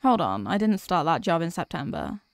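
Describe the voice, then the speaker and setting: A woman playing a sweet, sleepy workaholic girlfriend in an ASMR audio roleplay, speaking softly and hesitantly with affection. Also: on the low side, big pitch swings, moderate pace, no room sound, clean studio sound. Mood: flustered, reluctant.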